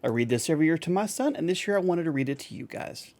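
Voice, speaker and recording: Animated man reading a storybook poem. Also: fairly high pitch, quick pace. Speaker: video creator reading a Christmas poem to viewers.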